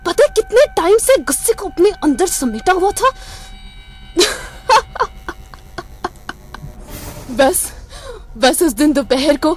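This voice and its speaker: A cornered young woman killer in a radio thriller. Her very high voice stammers and repeats, full of bitterness and loneliness, over faint music. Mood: furious confession. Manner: stammering, breathless repetition, theatrical outbursts. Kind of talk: Hindi radio crime drama acting.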